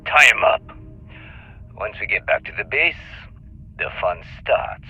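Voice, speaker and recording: A man playing a hard-bitten space pirate in a video game, husky and threatening as he gives orders. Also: lowish voice, very animated, unhurried, narrow, tinny audio, faint music behind, exaggerated voice. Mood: menacing. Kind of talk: video game dialogue acting.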